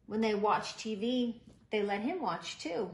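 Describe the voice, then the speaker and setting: A woman reading a children's picture book aloud in an expressive, animated way, with deliberate emphasis. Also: medium pitch. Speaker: storybook reader. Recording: roomy echo, faint background noise.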